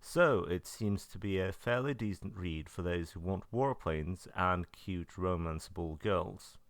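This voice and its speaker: A man's voice: deep voice, unhurried, no room sound, studio quality. A man narrating a review roundup from loose notes, in a matter-of-fact and blunt way. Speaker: video narrator reviewing visual novels. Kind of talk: semi-scripted review narration.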